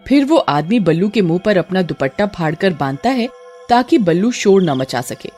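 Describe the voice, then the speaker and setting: A woman's voice: lowish voice, very animated, normal speed, a little mellow, faint music behind. A woman reading a scripted horror story as its narrator.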